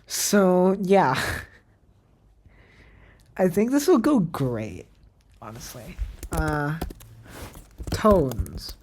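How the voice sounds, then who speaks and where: A woman with a low voice whose first language is Cantonese talks herself through ideas at a desk mic. Her pitch swings widely as she rambles, questions herself and trails off into fillers, and she takes her time. Faint keyboard typing and clicks sit behind her. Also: big pitch swings, very slow, pauses often, darkish tone, slight background noise. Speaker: language creator. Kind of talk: thinking aloud while screen-recording.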